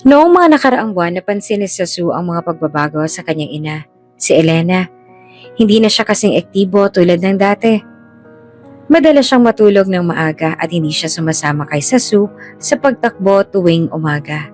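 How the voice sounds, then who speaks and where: A woman's voice: lowish voice, animated, moderate pace, darkish tone. A woman storyteller reading a dramatic scripted narration. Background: music.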